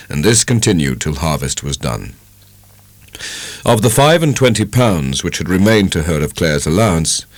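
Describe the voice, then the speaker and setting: A man with a British English accent giving a measured, dramatic reading of a literary novel as an audiobook narrator. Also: low-pitched, dry recording. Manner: measured, expressive storytelling.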